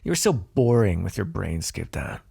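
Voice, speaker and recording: A man's voice: low-pitched, very animated, moderate pace, gravelly, darkish tone, breathy edge, no echo, studio quality, exaggerated voice. A man playing a smug, teasing vampire in an intimate ASMR roleplay, sardonic and flirtatious, possessive but fond. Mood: teasing, amused. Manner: sardonic, flirtatious, swearing, sighing.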